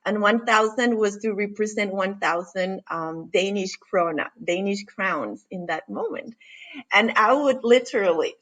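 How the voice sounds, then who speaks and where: A woman from Costa Rica, in her forties, speaks as a guest in a relaxed conversation. She pauses, restarts her sentences and fills gaps with "um" and "uh". She laughs often as she talks. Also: medium pitch. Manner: hesitant, many fillers and restarts; laughs often while talking. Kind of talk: casual interview conversation.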